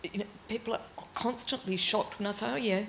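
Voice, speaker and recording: An older woman on a panel in a large auditorium, answering thoughtfully with frequent pauses and ums into a handheld mic. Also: mid-range voice, fairly even intonation, gravelly, fairly bright tone, a little hiss or hum. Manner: thoughtful, halting with many ums. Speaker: panelist, library director.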